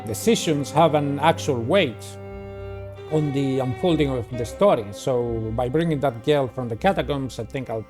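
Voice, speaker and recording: A man's voice: mid-range voice, normal speed. A man hosting a game playthrough, reading a visual novel aloud and adding casual commentary. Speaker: video game player and host. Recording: music playing behind the voice. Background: background music.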